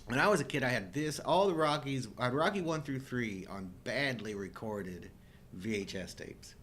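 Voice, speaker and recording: Animated, jokey man around fifty. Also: mid-range voice, moderate pace, a little hiss or hum. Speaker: co-host of a live show. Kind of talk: casual chat about films.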